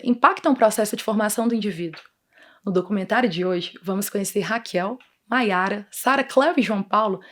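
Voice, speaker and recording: A woman presenting a university program in upbeat scripted narration. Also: medium pitch.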